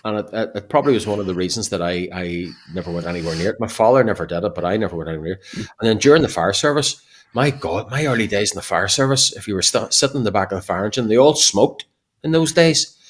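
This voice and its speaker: A man's voice: on the low side, animated, fast talker. A middle-aged man, co-hosting a podcast and telling blunt, jokey stories in casual banter. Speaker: podcast co-host.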